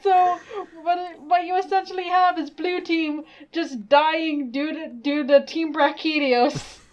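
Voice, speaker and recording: A young woman with a naturally high voice co-hosting a casual chat show, giggly and excitable. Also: unhurried. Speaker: podcast co-host. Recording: dull lo-fi audio.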